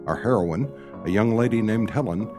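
A man's voice: lowish voice, normal speed. A man reading a scripted introduction as announcer of a radio theatre show. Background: music.

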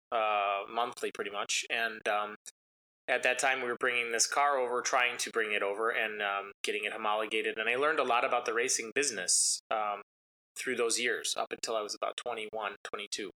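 A man's voice: medium pitch, steady tone, a little fast, bright, forceful tone, poor audio. A man whose first language is Italian, talking casually as an interview guest about his racing life, rambling with many ums and uhs. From United States.

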